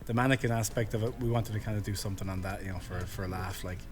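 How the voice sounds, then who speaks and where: A man's voice: lowish voice, steady tone, very fast. An Irish man in a band, chatting loosely in an interview with plenty of fillers and restarts. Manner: rambling, lots of fillers. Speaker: band member, drummer. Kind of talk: casual interview chat.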